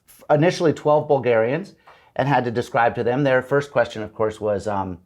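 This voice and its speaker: A middle-aged man telling stories with animated quotes. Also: mid-range voice. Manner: animated storyteller, quick restarts and acted-out quotes. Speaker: guest, TV comedy writer. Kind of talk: casual interview chat.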